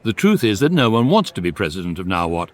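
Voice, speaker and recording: An actor playing a wry, deadpan British narrator of a space guide, delivering measured scripted comic narration for radio. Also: male voice, fairly low pitch, slight background noise. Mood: dryly amused.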